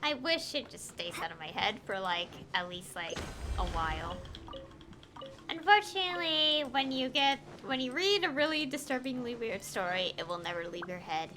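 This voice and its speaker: A woman's voice: fairly high pitch, animated, normal speed, background noise. A woman giving casual live commentary as she streams a video game.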